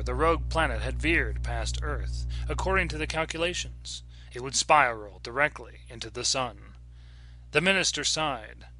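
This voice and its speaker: A man giving a dramatic, scripted narration of fiction in a measured, solemn, deliberate manner. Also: mid-range voice, pushed and bright, no echo, poor audio. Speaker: audiobook narrator.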